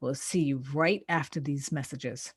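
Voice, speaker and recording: A woman's voice: extremely low pitch, measured pace, husky, no room sound, clean studio sound. A woman hosting a self-help radio show, talking conversationally in an encouraging, emphatic way. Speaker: radio show host, therapist and life coach.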